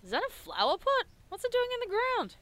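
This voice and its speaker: Woman acting as bright, brash, sarcastic sidekick. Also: extremely high pitch, huge pitch swings, brisk, slight background noise, full character voice. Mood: animated. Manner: blunt, slangy, wry. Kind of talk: audio drama acting.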